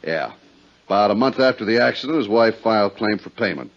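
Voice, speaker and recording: A hearty insurance adjuster in an old radio drama. His voice is deep and his talk plain and conversational. The vintage recording sounds muffled. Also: animated, brisk, creaky. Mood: matter-of-fact, insistent. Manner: matter-of-fact, conversational. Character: hearty, plain-spoken male insurance adjuster briefing a friend. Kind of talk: 1950s radio drama dialogue. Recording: dull 1950s radio recording.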